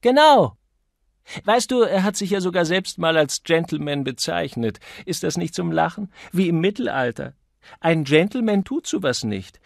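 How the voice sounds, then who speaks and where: A man's voice: on the high side, sing-song, normal speed, creaky, no echo, studio quality. A man voicing a flighty, spoiled young woman who chatters in a gushing, insistent way, as an audiobook character. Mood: gushing, excited. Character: flighty, spoiled young party woman, petulant and craving thrills.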